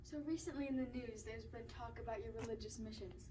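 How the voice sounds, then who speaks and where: A woman's voice: fairly high pitch, fast. A woman hosting a staged interview, introducing the guest and putting questions. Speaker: interviewer, show host. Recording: noisy, distant microphone.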